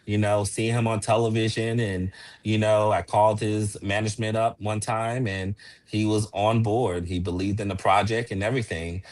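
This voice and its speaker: A man's voice: lowish voice, level tone, normal speed, darkish tone. A man talking casually as a playwright and producer being interviewed.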